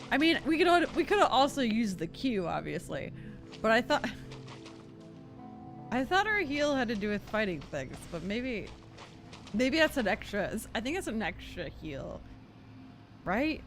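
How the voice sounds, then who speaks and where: A woman giving casual gameplay commentary as a streamer, thinking out loud and often second-guessing herself in a giggly way. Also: on the high side. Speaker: game streamer. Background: game music and character voice lines.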